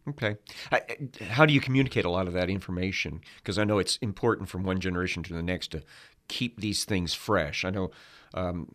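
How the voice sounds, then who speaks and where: Low-voiced male Bible program host. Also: a little fast, fairly bright tone. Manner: friendly, with many "uh" fillers. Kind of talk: hosted interview. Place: studio.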